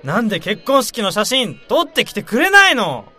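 A man acting out a young guy in a radio drama, high and bright in voice. He whines and sulks in blunt casual speech, with big swoops in pitch. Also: huge pitch swings, normal speed, soft background music, exaggerated voice. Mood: indignant. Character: sulky, whiny young man, lovelorn and tactless.

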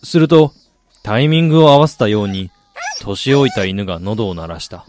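A man's voice: lowish voice, moderate pace, darkish tone, a little hiss or hum, close to an everyday voice. An actor playing a reflective, polite soccer fan who also narrates his own story in a radio drama. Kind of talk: Japanese radio drama acting with first-person narration.

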